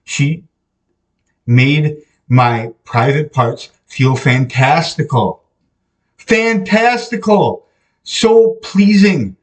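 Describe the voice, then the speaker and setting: A young man hosting a podcast, talking to the camera in a slow, rambling devotional monologue full of fillers and restarts, recorded in a small bare room. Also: fairly high pitch, animated. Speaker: podcast host. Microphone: camera mic from a few feet away. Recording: echoey room, voice a bit distant from the mic.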